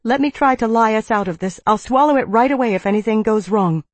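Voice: high voice, sing-song, slow pace, no echo, voice pushed up, heavily acted. A narrator voicing a brave young female heroine with an urgent, pleading, terse way of speaking.

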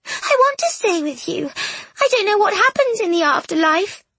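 A woman's voice: very high-pitched, sing-song, normal speed, a bit bright, no echo, pitched above the performer's own voice, heavily acted. A woman voicing a tearful, pleading fourteen-year-old ghost girl in an audiobook, with a tight delivery. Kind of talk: audiobook character dialogue.

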